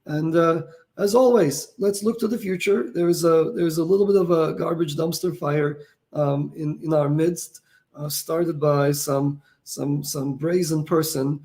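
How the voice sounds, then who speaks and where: A man's voice: a little high, fairly even intonation, unhurried, a little mellow. A middle-aged man who is losing his voice and feeling tired, explaining technical topics in a casual way and thinking aloud with many fillers and restarts. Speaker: founder answering viewer questions.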